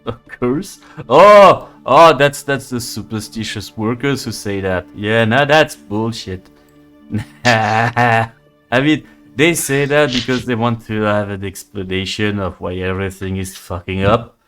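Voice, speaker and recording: A man's voice: on the low side, measured pace, fairly bright tone, faint music behind. A man playing a crude, scruffy young street drunk who swears, scoffs and rambles. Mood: scoffing.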